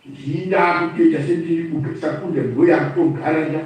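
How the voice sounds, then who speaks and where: A man's voice: on the high side, fairly even intonation, brisk, a little mellow. A man with a Zimbabwean accent giving an impromptu political address, speaking emphatically like a storyteller and repeating phrases for effect. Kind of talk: impromptu political address, mixing two languages. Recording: very echoey room, distant microphone.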